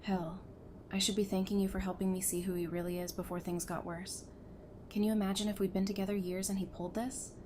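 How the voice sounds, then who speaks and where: A woman's voice: mid-range voice, level tone, brisk, background noise, lightly acted. A woman playing a caring, frank apocalypse survivor in a naturalistic audio drama. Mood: rueful, frank. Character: caring, frank female zombie-apocalypse survivor, hurt by betrayal.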